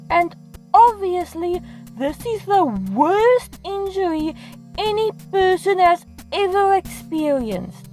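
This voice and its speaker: A woman giving scripted comedic storytime narration in a dramatic, self-mocking manner. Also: extremely high pitch. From South Africa. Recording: music playing behind the voice. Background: music.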